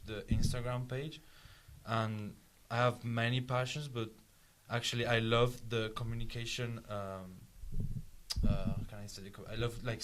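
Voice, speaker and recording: A young man with an Italian accent chats as an interview guest. His pitch is moderate, and there is slight ambient noise behind his voice. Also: fairly bright tone. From Italy. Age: young adult. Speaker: student communications head, interview guest. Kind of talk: casual interview answers.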